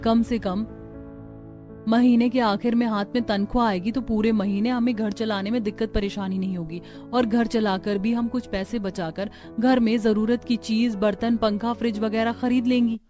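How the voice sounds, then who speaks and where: A woman's voice: on the high side, fairly even intonation, normal speed, warm tone, soft background music, natural voice. Woman voicing an outspoken young village daughter-in-law. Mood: persuading. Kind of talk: Hindi cartoon story dialogue.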